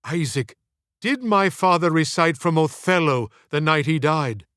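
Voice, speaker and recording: A man's voice: fairly high pitch, animated, unhurried, a bit bright, clear, resonant tone, no echo, clean studio sound. A man voicing an ailing middle-aged stage actor in an audiobook, speaking in an ornate, reflective, wistful way. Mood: grave, searching. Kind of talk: audiobook character dialogue.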